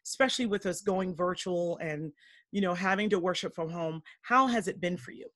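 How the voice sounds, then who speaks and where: A woman's voice: fairly low pitch, a little fast, dry recording, clean studio sound. A middle-aged woman interviewing her pastor brother over a video call, with many affirming interjections. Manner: warm, enthusiastic, frequent affirmations. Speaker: interviewer, church member. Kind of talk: casual church interview. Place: home room with teal wall.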